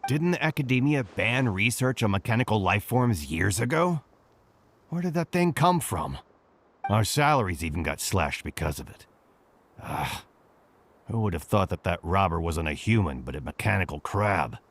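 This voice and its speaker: An actor voicing a grumbling caravan guard who complains in an exasperated way. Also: male voice, fairly low pitch, big pitch swings, normal speed. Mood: griping.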